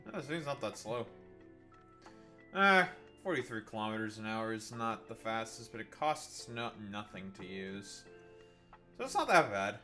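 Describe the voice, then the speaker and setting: A man's voice: fairly low pitch, big pitch swings, normal speed, bright, forceful tone, faint music behind. Profane rambling male game streamer. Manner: profane, rambling, self-interrupting. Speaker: gaming streamer. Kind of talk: casual gameplay commentary.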